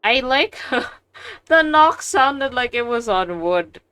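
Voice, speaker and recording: A woman's voice: high voice, animated, slow, a bit bright, clean tone, dry recording. A woman streamer giving casual gameplay reactions in short, playful, slangy remarks.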